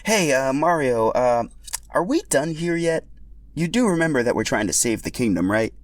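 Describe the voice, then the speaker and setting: An impatient storybook sidekick, voiced by a man nags hesitantly about the quest. The voice is raised in pitch and moves in lively swings. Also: normal speed, no echo, natural voice. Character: impatient companion reminding the hero of his quest.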